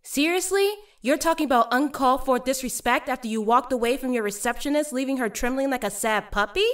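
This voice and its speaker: Woman acting a blunt, high-voiced tough mechanic. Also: brisk, close to an everyday voice. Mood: angry. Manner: biting, confrontational. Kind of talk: audio fiction dialogue.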